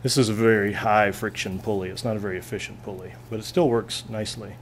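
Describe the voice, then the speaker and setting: Male teacher, low voice, relaxed step-by-step demo, faint room hum. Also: quick pace. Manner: relaxed, plainspoken, thinking aloud with restarts. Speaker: physics teacher. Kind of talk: hands-on classroom demonstration. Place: classroom lab bench, indoors.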